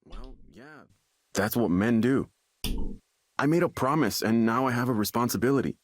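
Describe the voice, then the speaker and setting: An actor playing a troubled young man desperate to stay normal, agitated and halting as he repeats himself in a video game. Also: medium pitch, lightly acted. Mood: insistent, defensive.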